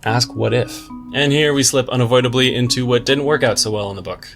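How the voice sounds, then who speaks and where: A man's voice: mid-range voice, brisk, fairly bright tone, faint music behind. A man giving a scripted book review commentary in a wry, tongue-in-cheek, slangy way. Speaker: book reviewer, video host. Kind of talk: scripted video essay commentary with slangy asides.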